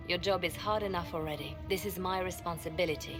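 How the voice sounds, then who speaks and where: An actress plays a dutiful woman leader in a fantasy game. She speaks with measured firmness in a fairly low voice, composed beneath very loud music. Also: steady tone, natural voice. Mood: solemn, firm. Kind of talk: dramatic video game acting.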